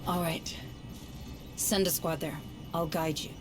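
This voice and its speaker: A woman playing a steady, diplomatic deputy administrator in a video game, measured and supportive. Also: deep voice. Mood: resolute. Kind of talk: video game cutscene acting. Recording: reverberant room, music underneath.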